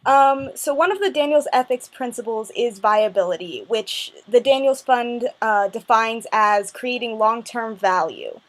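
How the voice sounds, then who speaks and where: A woman's voice: a little high, expressive, measured pace, hardly any pauses. A woman on a video call politely reading out a question for a panelist.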